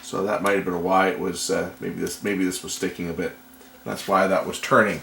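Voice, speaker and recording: A man giving casual hands-on repair commentary, thinking aloud in a tentative, unhurried way. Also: sits low, level tone, gravelly, fairly bright tone. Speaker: repair vlogger. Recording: noticeable background noise.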